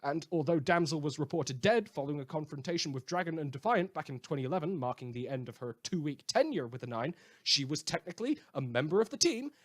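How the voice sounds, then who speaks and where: A man playing a sardonic, theatrical radio news host in a dystopia, delivering satirical scripted bulletins with emphatic mock cheer. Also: on the high side. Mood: theatrical excitement.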